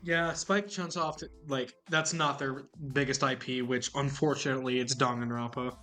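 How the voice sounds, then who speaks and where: A man's voice: mid-range voice, fairly even intonation, normal speed, pushed and bright, soft background music. A man co-hosting a casual gaming podcast, pushing back in debate and wrapping up the episode. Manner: argumentative, wraps up the episode. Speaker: podcast co-host.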